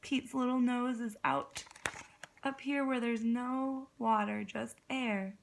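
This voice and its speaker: A woman's voice: on the high side, steady tone, slow pace, vocal fry, slight background noise, poor audio. A woman kindergarten teacher reading a story aloud expressively, guiding young listeners with simple questions and dramatic phrasing.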